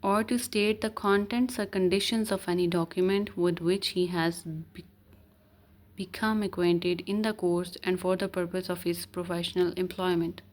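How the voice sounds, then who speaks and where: A woman's voice: lowish voice, level tone, extremely slow. A woman with a Pakistani accent giving an explanatory law lecture, reading legal text aloud and switching between Urdu and English. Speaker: law teacher.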